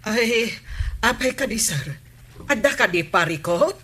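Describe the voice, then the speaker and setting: Casting: actress as nagging captain's wife, bright forceful tone, suspicious, radio comedy drama. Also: female voice, on the high side, expressive, unhurried, slightly rough, heavily acted. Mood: concerned. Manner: nagging, probing, emphatic. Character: suspicious, scolding, sharp-tongued wife of village captain.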